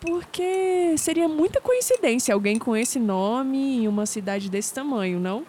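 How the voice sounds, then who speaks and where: Atriz como jovem brasileira cética, voz aguda. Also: female voice, sits high, animated, unhurried, darkish tone, a little hiss or hum. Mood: curious. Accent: Brazilian. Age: young adult. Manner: wry, questioning. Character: skeptical, sharp-witted young woman, curious and sarcastic. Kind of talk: audio drama dialogue.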